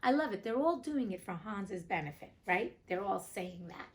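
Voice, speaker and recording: A woman's voice: mid-range voice, expressive, normal speed. A woman reading a storybook aloud as host, breaking off for chatty, informal, joking commentary. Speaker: reader and host of a classics read-aloud show.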